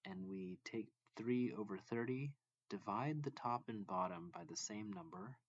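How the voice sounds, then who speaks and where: A man reading a math textbook lesson aloud as a tutor, deliberately and in short phrases. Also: mid-range voice, animated, vocal fry, no echo, highs cut off.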